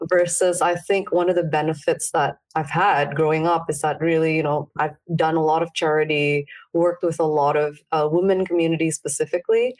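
A woman's voice: low-pitched, a little mellow. A young adult woman from Indonesia, a startup founder, earnestly explaining her background as an interview guest. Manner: earnest, explains at length with fillers. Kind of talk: casual interview answers.

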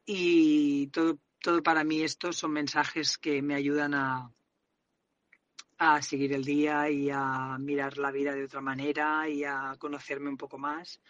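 A woman's voice: sits low, little pitch movement, measured pace. A woman with a Catalan accent sending a grateful, reflective voice message while driving. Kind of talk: recorded voice message. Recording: muffled voice message from a car.

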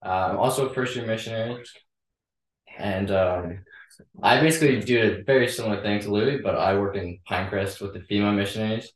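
A young man talks casually in a low voice, using fillers. He sits away from the mic in an echoey room. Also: a little fast, recorded from a distance. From Calgary, Canada. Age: young adult. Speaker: lay missionary. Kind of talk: casual chat.

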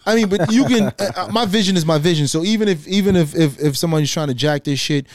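A man, a podcast guest talking in a loose, slangy, animated way. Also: fairly high pitch, fast talker, dry recording, studio quality. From Guyana. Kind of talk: casual conversation.

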